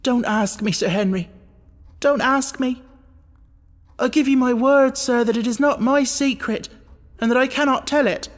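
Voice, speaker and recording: A man's voice: very high voice, a little slow, pauses often, in a large hall, voice pushed up. A man voicing an agitated, evasive butler who pleads deferentially, in an audiobook of a classic mystery. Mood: pleading.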